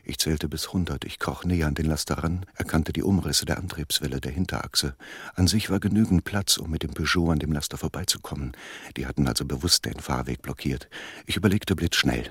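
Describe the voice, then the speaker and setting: An actor playing the armed first-person narrator of a radio thriller, recounting the action over music. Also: male voice, extremely low pitch, slightly rough, dry recording, clean studio sound, full character voice. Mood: tense, controlled.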